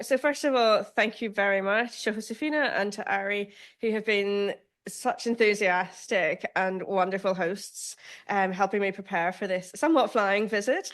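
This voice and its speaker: A middle-aged woman with a Northern Irish accent gives an academic talk. She speaks in a measured, thoughtful way and gently pokes fun at herself. Her pitch sits in the middle for a woman. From Northern Ireland. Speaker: guest speaker, law professor. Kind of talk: academic lecture.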